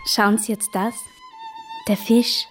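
A woman's voice: mid-range voice, flat delivery, slow, lightly acted. Radio drama role, female actor: artless young Austrian farm girl, proud and open, rustic dialect phrasing, music in the track. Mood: eager, playful. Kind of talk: radio play acting.

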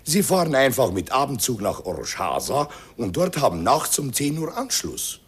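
A man's voice: mid-range voice, big pitch swings, moderate pace, husky. An actor playing a fatherly Hungarian stationmaster who speaks broken German with a Hungarian accent, in a classic radio play. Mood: advising. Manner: broken German, earnest advice-giving. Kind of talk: 1950s radio play acting.

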